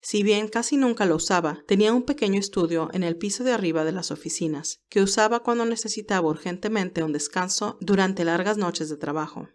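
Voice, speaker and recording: A woman storyteller with a mid-pitched voice narrates a romantic tale. Her delivery is scripted narration, in the manner of an audio novel. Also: a little fast, hardly any pauses.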